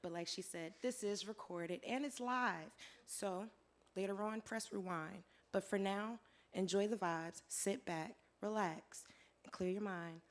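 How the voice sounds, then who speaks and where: A hoarse woman performing her spoken word poetry live, in a rhythmic rhyming delivery that swings between soft lines and loud declarations. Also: mid-range voice, measured pace. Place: live venue with audience.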